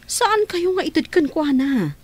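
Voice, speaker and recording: An actress voicing a calm, firm older caretaker in a radio drama, speaking reassuringly. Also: female voice, high voice, moderate pace.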